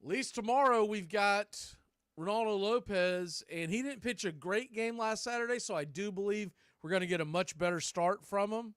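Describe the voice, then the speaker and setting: Male, high-pitched voice, folksy conversational sports host, casual commentary, dry close sound. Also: normal speed, a bit bright, smooth, dry recording. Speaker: host of a baseball fan radio stream.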